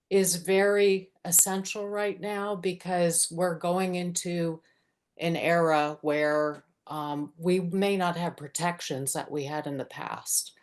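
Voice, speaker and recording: A middle-aged woman, a nurse, giving an earnest unscripted comment over a video call in a measured, halting way. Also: on the low side. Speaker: nurse, audience participant.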